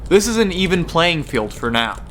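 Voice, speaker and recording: A man's voice: on the high side, big pitch swings, moderate pace, a bit bright, a little hiss or hum. A man playing an intense, scheming teenage debate team leader in an amateur radio drama, terse and commanding. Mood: terse, strategic.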